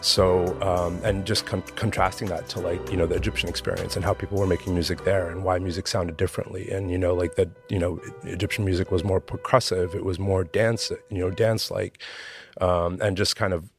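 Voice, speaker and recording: A man's voice: low-pitched, little pitch movement, brisk, over music. An American man from Maryland, a podcast guest, talking reflectively with lots of fillers. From Maryland, USA. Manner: reflective, loose, many fillers. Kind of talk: podcast interview.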